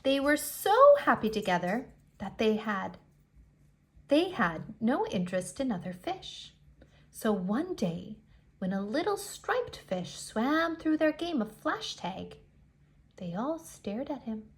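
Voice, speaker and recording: A woman reading a picture book aloud to young children in an expressive way, with deliberate dramatic emphasis. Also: on the high side.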